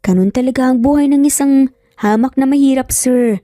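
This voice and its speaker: A woman's voice: high-pitched, normal speed, dark tone, no echo, studio quality, natural voice. A woman voicing a playful, cheeky young single mother who teases her boss with mock-dramatic pleading in a narrated story. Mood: flippant, teasing.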